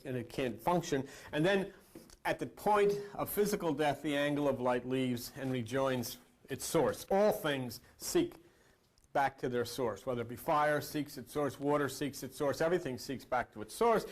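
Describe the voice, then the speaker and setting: A high-voiced man speaks as a spiritual teacher. He lectures in a sermon style, stressing key points through repetition and putting rhetorical questions to his listeners. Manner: emphatic, repeats phrases for effect, rhetorical questions. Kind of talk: esoteric sermon lecture to an audience.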